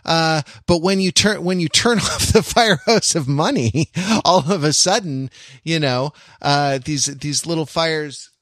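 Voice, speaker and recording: A man's voice: a little high, measured pace, fairly bright tone, dry recording. Chatty podcast man, dry close sound. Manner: rambling, many fillers and restarts, jokey asides. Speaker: podcast co-host. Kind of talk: casual conversational commentary.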